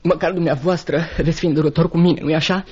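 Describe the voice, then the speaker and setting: Husky, high, forlorn teenage boy. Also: fairly even intonation, darkish tone. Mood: plaintive. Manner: plaintive, pleading. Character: timid, forlorn adolescent drudge boy, downtrodden and pleading. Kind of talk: theatrical radio drama acting. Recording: dull lo-fi radio play recording.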